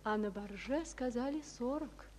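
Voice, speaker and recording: An actress playing a shy, sincere young woman in a wartime radio drama, speaking softly and earnestly. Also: on the high side, moderate pace, slight background noise, natural voice. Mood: frank, curious. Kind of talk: 1940s-set wartime radio drama acting.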